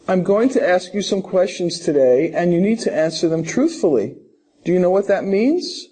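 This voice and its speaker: A man's voice: high voice, lively intonation, moderate pace, mellow. A man playing an interviewing officer, asking formal, direct, scripted questions. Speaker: interviewing officer in a role-play.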